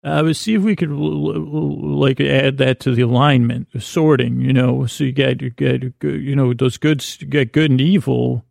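Creaky-voiced, rambling man. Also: medium pitch, fairly even intonation, darkish tone, no room sound, studio quality. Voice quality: mumbling. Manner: meandering, halting, stammering and repeating words; silly, self-deprecating. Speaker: podcast host. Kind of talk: rambling, whimsical bedtime monologue.

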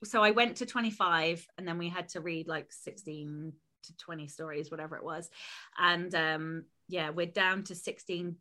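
A young adult woman co-hosting a casual podcast, animated and rambling, laughing often. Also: on the low side, normal speed. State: voice lower than usual. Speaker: podcast co-host. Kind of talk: casual chat.

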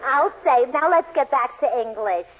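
A shrewd, brassy saleswoman in a 1940s radio comedy. She is high-pitched, wry and out for the money. The vintage recording is muffled and heavily noisy. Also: lively intonation, normal speed. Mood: shrewd selling. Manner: wry, folksy, mercenary. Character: brassy fake Spanish lace-shop proprietress, shrewd.

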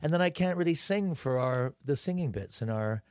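A man's voice: a little high, expressive, fairly quick, dry recording. A man co-hosting a podcast, telling stories in an enthusiastic, rambling way with many restarts. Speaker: podcast co-host. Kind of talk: casual podcast chat.

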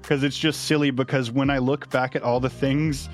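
Male podcast host, medium pitch, casual conversational delivery over music. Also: level tone, moderate pace. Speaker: podcast host and audio drama creator. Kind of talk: casual behind-the-scenes chat.

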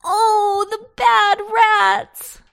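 A woman voicing an excitable, babyish little sister in a children's storybook, exclaiming in wails. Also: extremely high pitch, creaky, voice pushed up, heavily acted. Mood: tearful, mournful. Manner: wailing, drawn-out exclamations.

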